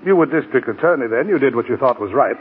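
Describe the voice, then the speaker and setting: Through a narrow, tinny 1940s radio broadcast comes a genial middle-aged lawyer played by a male actor, candid and confiding. His mid-range voice moves in lively swings, in the radio-drama manner of the era. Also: medium pitch, quick pace, slight background noise, lightly acted.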